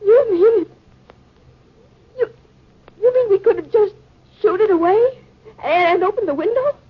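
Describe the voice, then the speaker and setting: Muffled old radio recording of a very high-voiced, distraught young wife from a 1950 thriller. She is frightened and shaken, pleading breathlessly in melodramatic style. Her words come out slowly and dramatically over a layer of hiss. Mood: stunned disbelief. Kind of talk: 1950s radio drama acting.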